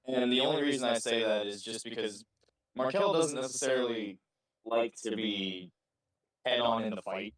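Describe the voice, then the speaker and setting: A man answering interview questions casually, explaining himself in measured short phrases. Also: mid-range voice, low-quality recording. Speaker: interviewed voice actor.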